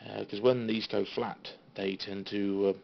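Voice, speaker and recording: Gravelly low-voiced British man. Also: flat delivery, measured pace. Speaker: CB radio hobbyist giving a tour of equipment. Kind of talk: casual informal show-and-tell. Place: small radio room at home. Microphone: handheld phone. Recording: dull phone recording with faint noise.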